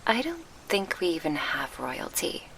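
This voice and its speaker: A woman playing a gentle, wistful succubus guardian in a soft ASMR roleplay, speaking in formal, measured phrases with a breathy murmur, close to the mic. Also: fairly low pitch, wildly swooping pitch, a little hiss or hum, full character voice. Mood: wistful, reflective. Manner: formal, measured, old-fashioned diction. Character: gentle, wistful female succubus guardian, grieving her lost past. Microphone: close binaural microphone.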